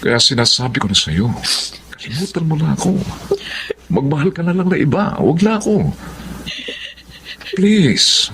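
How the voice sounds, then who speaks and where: A man's voice: on the high side, sing-song, moderate pace, long pauses, fairly bright tone, a little hiss or hum. A man with a Filipino accent acting a young architect and firm ex-boyfriend in a romance drama. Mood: firm pleading.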